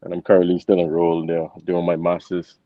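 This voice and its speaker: A man's voice: sits low, monotone, brisk, no echo. A man, giving casual, somewhat hesitant interview answers. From Bahamas. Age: 20s. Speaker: interview guest, college football player. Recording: dull lo-fi close microphone.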